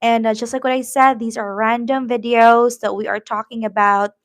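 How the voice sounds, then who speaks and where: A woman from the Philippines with a Filipino accent and Tagalog as her first language, chatting casually on a live stream, encouraging viewers and pausing often with "um". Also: a little high, level tone. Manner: warm, encouraging, reads viewer comments, many "um" fillers. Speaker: YouTube livestream host.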